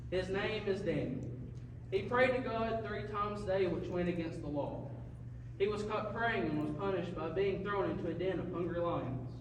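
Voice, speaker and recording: A man's voice: high-pitched, normal speed, a little hiss or hum, lightly acted. A man playing an earnest radio show sidekick, reading out scripted lines in a comedy skit. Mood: announcing. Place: live performance in a large hall. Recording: very echoey hall, distant, music behind.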